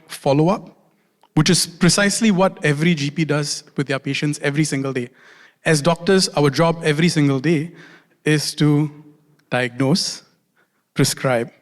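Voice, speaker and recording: Self-deprecating male doctor in a reverberant hall. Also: a little high. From Singapore. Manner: friendly, self-deprecating, repeats key phrases slowly for emphasis. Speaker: conference speaker, doctor and consultant. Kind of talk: conference talk. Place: large conference hall.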